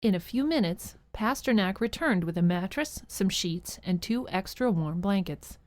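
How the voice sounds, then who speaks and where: A woman's voice: mid-range voice, lively intonation, normal speed, dry recording. A woman narrator reading a scripted holiday story for a radio drama in a storytelling style. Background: music.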